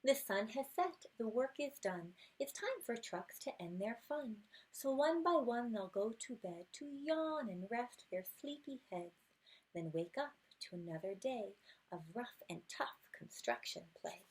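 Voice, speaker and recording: Female storytime reader, fairly high and husky, taking a picture book slowly and expressively, softening into hushed good nights. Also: expressive, poor audio. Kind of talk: read-aloud of a rhyming children's picture book with asides.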